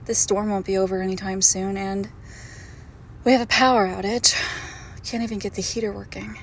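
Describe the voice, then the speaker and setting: A woman playing a doting, possessive girlfriend who is secretly a monster, in an intimate, hushed roleplay audio drama. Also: medium pitch, level tone, a little hiss or hum, close to an everyday voice. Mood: tender, reassuring. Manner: slow, hushed, tender, often dropping to a whisper.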